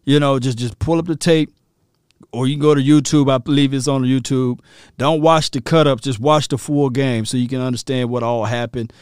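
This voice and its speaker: A man from Mississippi with a Southern accent, hosting a casual sports talk show and reacting with lively, laughing asides to his listeners. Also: medium pitch, fairly quick, clear, resonant tone, no room sound, clean studio sound. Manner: animated, laughing, talks straight to listeners. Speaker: podcast host.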